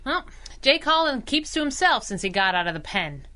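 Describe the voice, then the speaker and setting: A sassy young chauffeur sidekick in a vintage-style radio adventure. Her voice sits fairly high with a bright, forceful edge. She teases wryly and swings expressively across her range. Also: sing-song. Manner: wry, sassy, brisk. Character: plucky, teasing young lady chauffeur sidekick. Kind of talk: 1930s-style radio drama acting.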